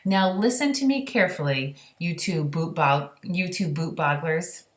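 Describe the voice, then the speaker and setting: A woman plays a gentle, simple-hearted giant in a children's storybook. The speech is unhurried and folksy with jumbled grammar, in an echoey room. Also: on the low side, close to an everyday voice. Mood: calm, instructing. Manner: unhurried, folksy, muddled words. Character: friendly old giant, calm, simple, mangled grammar. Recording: roomy, echoey sound.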